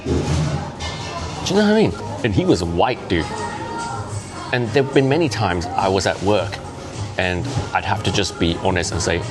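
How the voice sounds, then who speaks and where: Low-voiced man in his fifties, native Cantonese speaker. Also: normal speed, pauses often, music in the background. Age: 50s. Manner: chatty, animated, often acts out quoted voices. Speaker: vlogger. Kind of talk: casual monologue to camera.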